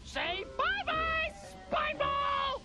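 A hot-tempered robot rooster villain from a cartoon. The voice is piercingly high and swoops about, bossy and scolding, with loud music behind. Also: male voice, animated, takes it slowly, full character voice. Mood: gloating. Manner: shrill, scolding, insulting.

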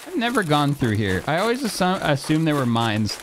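Laughing, lively streamer man. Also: a little high, a little fast, a little hiss or hum. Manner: laughing, joking banter. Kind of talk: casual gaming chat. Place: home streaming room. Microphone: desk mic on a boom arm.

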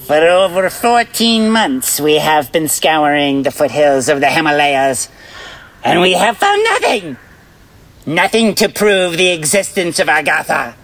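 An actor playing an arrogant, obsessed Nazi zoologist with a German accent and a grandiose manner in a radio drama. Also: male voice, fairly high pitch, sing-song, a little slow, fairly bright tone, low-quality recording. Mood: frustrated. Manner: grandiose, exclamatory.

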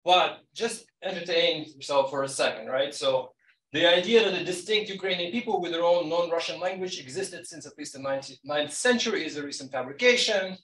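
A man's voice: fairly high pitch, fairly quick, fairly bright tone. A middle-aged man from Kazakhstan presenting at a conference, conversational, often checking in with the audience. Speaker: conference panelist. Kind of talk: academic presentation. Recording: echoey room, distant mic.

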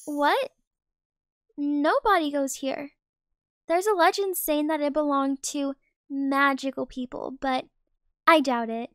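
A young woman voicing Hazel, a kind, lonely teenage schoolgirl, speaking softly and slowly. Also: high voice, dry recording, clean studio sound. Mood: curious. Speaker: voice actor. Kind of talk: amateur voiced game movie.

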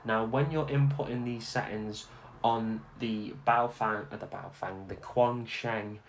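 A man giving a casual, informal software tutorial, instructing step by step and repeating himself as he goes. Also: on the low side, fairly even intonation, measured pace. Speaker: tutorial host. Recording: clear background noise.

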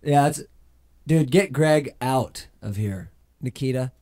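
A man's voice: mid-range voice, animated, slow pace, mellow, no echo. A man joking bluntly during studio banter, bossing a bandmate around. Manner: blunt, joking, calls out names.

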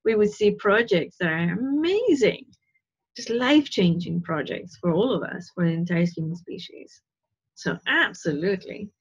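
A middle-aged woman with a slightly husky voice, speaking through an earphone mic on a dull, lo-fi call line. She talks in an earnest, meandering way from her living room, often checking in with her listeners. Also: on the low side, animated, moderate pace. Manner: earnest, rambling, with frequent "right?" and "you know". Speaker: spiritual teacher. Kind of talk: casual talk on a video call. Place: living room at home, indoors. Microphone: wired earphone mic on a video call.

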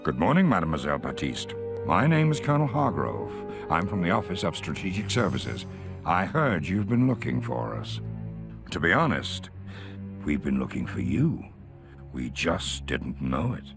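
An actor playing a courteous middle-aged intelligence colonel, giving a formal, measured briefing in a game cutscene. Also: male voice, extremely low pitch, big pitch swings, gravelly, music in the background, full character voice. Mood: formal, frank. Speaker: intelligence officer briefing an agent.

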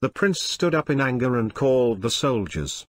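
A man's voice: mid-range voice, animated, moderate pace, no echo, studio quality. A man narrating a children's story from a script. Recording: music under the voice. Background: background music.

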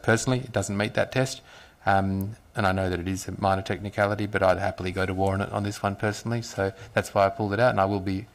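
A man speaking as a city councillor in a council chamber debate, halting, with many fillers and restarts, speaking into a desk microphone. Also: low voice, vocal fry. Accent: Australian.

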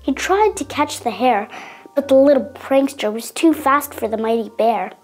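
A young girl narrating a children's story in an expressive, dramatic read-aloud way. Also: sits high, moderate pace, husky.

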